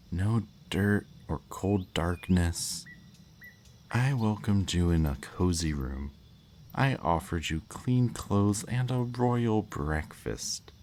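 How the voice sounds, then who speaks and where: Man acting a very deep, smug kidnapper villain. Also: darkish tone, exaggerated voice. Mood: smugly courteous. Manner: slow, teasing, mock-courteous, lingering over words. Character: smug male kidnapper villain, politely menacing and playful. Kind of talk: intimate ASMR audio roleplay, addressing the listener. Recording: faint background noise, chirping night crickets.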